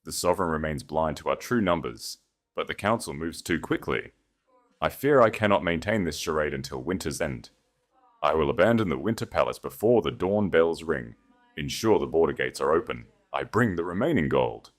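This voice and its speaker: A man voicing a proud, cunning grand duchess with a calm, measured authority in an audiobook romance. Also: rumbling low. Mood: calculating.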